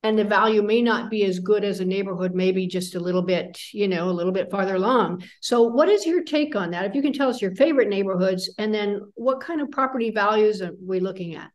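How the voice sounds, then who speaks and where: A woman's voice: mid-range voice, a little fast, few pauses. A middle-aged woman hosting a travel channel, interviewing a guest on a video call in an upbeat, enthusiastic way. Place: indoor room. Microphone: laptop camera on video call.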